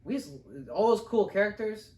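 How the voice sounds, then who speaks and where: A man's voice: high-pitched, moderate pace, a little mellow, distant mic, slight background noise. A young man in casual review chat as a co-host, explaining his points in a rambling way. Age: young adult. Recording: roomy, echoing sound.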